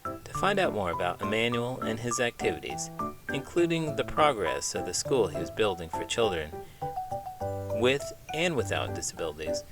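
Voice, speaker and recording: A man reading a children's book aloud in an expressive, emphatic, measured narrating style. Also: lowish voice. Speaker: children's book reader, teacher.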